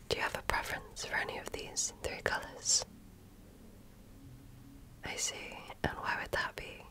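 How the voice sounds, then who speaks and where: A woman whispering in ASMR style as she role-plays a medical examiner, gently asking questions in short, measured phrases.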